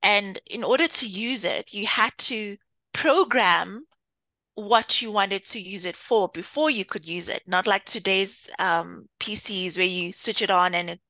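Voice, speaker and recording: A woman from Kenya being interviewed, speaking thoughtfully with frequent pauses and easy laughs. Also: mid-range voice, bright, forceful tone, dry recording. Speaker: interview guest, data executive. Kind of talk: conversational interview.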